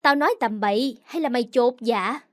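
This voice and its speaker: A woman voicing a loud, greedy older neighbor woman who scolds and hurls abuse in an audio story. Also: a little fast, no echo, clean studio sound, close to an everyday voice. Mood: accusing. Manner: scolding, shrill, abusive.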